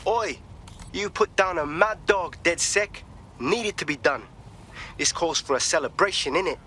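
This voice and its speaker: An actor playing a cocky, streetwise freelance vigilante in a game, breezy and slangy, with a London accent. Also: male voice, on the high side, a little slow, bright, forceful tone, a little hiss or hum. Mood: cocky, pleased. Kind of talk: game cutscene acting.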